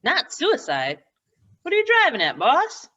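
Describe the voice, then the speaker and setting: A woman's voice: high voice, huge pitch swings, a little slow, bright, forceful tone, breathy edge, dull audio, full character voice. A woman doing a folksy male sidekick cop. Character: folksy male police assistant, eager sidekick. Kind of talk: 1940s radio acting.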